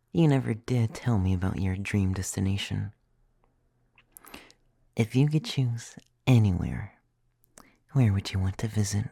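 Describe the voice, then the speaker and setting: Man playing tender young vampire boy, ASMR roleplay, breathy whisper, creaky, soothing, caring. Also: lowish voice, warm tone, dry recording, studio quality. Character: gentle young male femboy vampire, caring and teasing.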